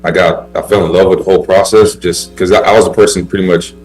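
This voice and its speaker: Laid-back athlete guest in his thirties, very low voice, relaxed rambling chat over faint music. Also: fast talker, poor audio. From Haiti. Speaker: basketball player guest. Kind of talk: casual interview chat.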